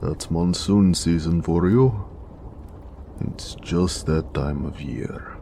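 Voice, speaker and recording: A very deep, heavily gravelled orc blacksmith acted by a man, speaking. He is a gentle, doting lover who teases affectionately in a very slow, unhurried drawl, as in an intimate romantic audio roleplay. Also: a little hiss or hum, heavily acted. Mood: amused. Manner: affectionate, playfully sarcastic, unhurried.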